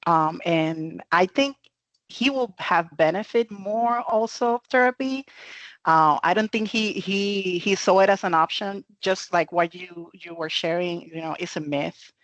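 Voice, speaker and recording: A woman's voice: fairly low pitch, measured pace, dry recording, muffled recording. A woman co-hosting a podcast, speaking earnestly and hesitantly with many restarts. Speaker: podcast co-host. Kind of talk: casual interview chat.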